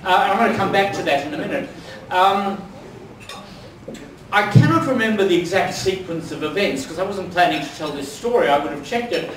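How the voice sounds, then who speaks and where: A man's voice: high voice, animated, a little fast, fairly bright tone, slight background noise. An older man with an Australian accent giving a wry, anecdotal after-dinner speech in a large dining room. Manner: wry, anecdotal, with many ums. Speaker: dinner speaker. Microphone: distant mic. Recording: echoey hall from far off.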